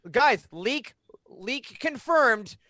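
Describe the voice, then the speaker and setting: A man's voice: extremely high pitch, expressive, very slow, bright, forceful tone, no echo. A man in his thirties giving opinions in a casual podcast roundtable, on a close microphone at home. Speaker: podcast panelist. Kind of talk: casual animated opinion chat. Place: small room at home. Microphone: close boom microphone.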